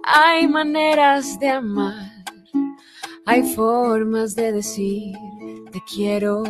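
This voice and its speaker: Soft Colombian woman singing with guitar. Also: a little high, extremely slow. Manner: soft-spoken, sings gently with guitar. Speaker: guest singer. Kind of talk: live studio interview with singing. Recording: guitar music loud in the background.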